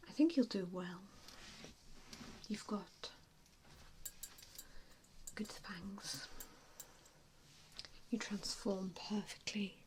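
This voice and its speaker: A woman performing an ASMR roleplay as a vampire assessor, speaking in a breathy, mostly unvoiced whisper with hushed pauses. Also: medium pitch, a little hiss or hum. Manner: slow, hushed delivery with frequent pauses. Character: vampire assessor, a wistful former hunter, gently probing.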